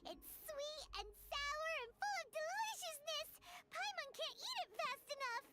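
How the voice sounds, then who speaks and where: Super high squeaky little fairy sidekick, bouncy and food-mad, swoops up and down. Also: female voice, lively intonation, a little slow, loud music behind the voice. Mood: excited. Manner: bouncy, talks about herself by name. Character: tiny floating fairy companion, female, excitable and greedy.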